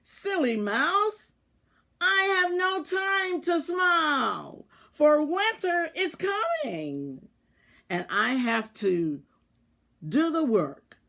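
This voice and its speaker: Casting: stern, hardworking grumpy mouse for a storybook, played by a woman; high, emphatic, scolding delivery. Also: big pitch swings, drawn-out words, sharp tone, exaggerated voice. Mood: stern, dismissive.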